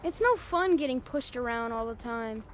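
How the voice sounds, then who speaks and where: A woman's voice: a little high, expressive, normal speed, a little hiss or hum, lightly acted. A woman voicing a timid, picked-on little boy in a video game, meek and childlike. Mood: glum. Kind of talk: video game character dialogue.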